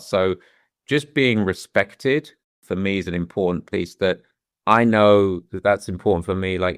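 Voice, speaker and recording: A deep-voiced, middle-aged British man talks things through with candour, pausing and restarting to find his words. His voice is smooth and resonant, and the recording is close and dry. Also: no room sound. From London, England. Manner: thoughtful, with frequent restarts and "you know"s. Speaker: guest. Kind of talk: candid reflective conversation.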